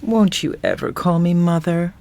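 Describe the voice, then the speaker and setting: Actress as low-voiced, gracious flirtatious widow. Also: female voice, normal speed, darkish tone, close to an everyday voice. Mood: wistful. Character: gracious, flirtatious middle-aged widowed stepmother. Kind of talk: radio drama acting.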